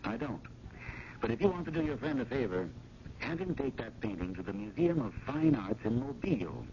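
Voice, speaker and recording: An actor in a 1970s radio drama playing a harried, fussy museum curator who can be dry and curt. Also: male voice, mid-range voice, very animated, a little fast, mellow, natural voice. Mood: dry, wary. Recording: noisy, dull old radio broadcast.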